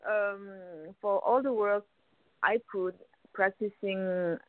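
A young woman from France with a French accent, a violinist guest on a phone interview, speaking English haltingly and searching for words. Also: mid-range voice, steady tone, no room sound. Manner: hesitant, searching for words, many restarts. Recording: tinny phone line, dry.

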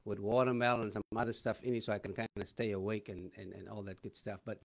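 A man's voice: fairly low pitch, little pitch movement, quick pace, creaky. Chatty guy hosting a panel, jokey, lots of uhs. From Los Angeles. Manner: joking, many fillers. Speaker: host.

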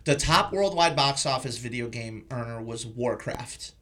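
A man's voice: medium pitch, animated, measured pace, a bit bright, slight background noise. A man hosting a podcast, chatting casually and informally about films. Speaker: podcast host. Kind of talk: casual film discussion.

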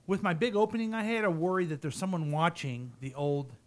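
A man with a somewhat high voice hosts a small radio talk show, rambling through station business between jokes. He laughs often and keeps the banter going with his co-hosts from a studio microphone. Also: brisk.

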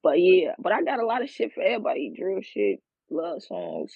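A man's voice: sits high, wildly swooping pitch, a little fast, creaky, breathy edge, no echo. A young man in his twenties, a rapper guest, talking in a laid-back, slangy way and laughing easily. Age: 20s. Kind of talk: casual interview chat. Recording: dull, dry lo-fi sound.

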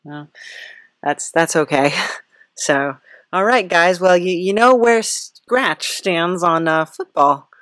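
A woman's voice: lowish voice, slow pace, fairly bright tone, no room sound, studio quality. A woman hosting a comic interview in a casual, rambling, hesitant way with a wry touch. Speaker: podcast host, interviewer.

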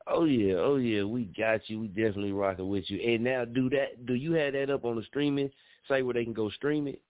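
A man's voice: medium pitch, fairly even intonation, a little fast, no room sound. A man hosting an internet radio call-in show, talking in a laid-back, slangy way. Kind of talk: casual call-in radio chat. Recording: tinny phone-like line, dry.

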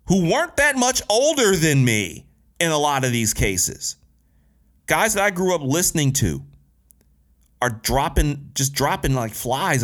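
A man's voice: fairly high pitch, a bit bright. Casual man in his thirties hosting a podcast. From Detroit, USA. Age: 30s. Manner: casual and candid, with frequent profanity and slang. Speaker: podcast host. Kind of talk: solo podcast monologue.

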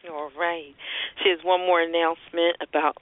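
A woman's voice: lowish voice, level tone, normal speed. A woman hosting a conversational call-in talk show, giving advice and announcements over a phone line. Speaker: radio show host and marketing strategist. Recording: muffled, band-limited phone-line internet radio.

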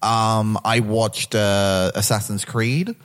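A man's voice: fairly low pitch, fairly even intonation, takes it slowly, smooth. A man co-hosting a podcast, talking casually about films and TV with some swearing. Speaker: podcast co-host.

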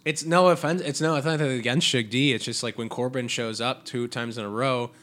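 A man co-hosting a casual podcast, sniffly from allergies, excitable and swearing freely. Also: mid-range voice, brisk. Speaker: podcast co-host. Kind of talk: casual wrestling chat. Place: Chicago area.

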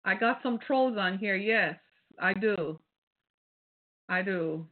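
A woman with a British Caribbean accent talks through her solo podcast in a mid-pitched voice. She lectures in a rhetorical, preachy way and keeps checking in with "right?". The sound is thin and phone-like. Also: slow. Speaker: podcast host. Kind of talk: casual solo podcast commentary. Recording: phone-like, narrow band.

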